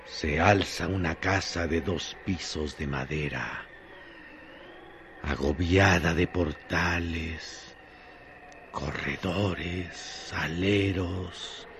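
A radio drama storyteller with a low, creaky voice. He is solemn and literary, drawing out each phrase very slowly over faint noise. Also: fairly bright tone, full character voice. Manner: slow, deliberate, literary delivery with long pauses. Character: solemn male narrator of a desert tale. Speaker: narrator.

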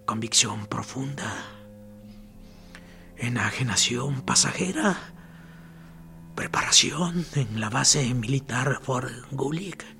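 An actor playing a dead male prisoner in a radio drama, a calm, mocking voice that speaks in a gravelly half-whisper with dry sarcasm. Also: medium pitch, slow, pauses often, soft background music, full character voice. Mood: sardonic. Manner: dry, sardonic, probing.

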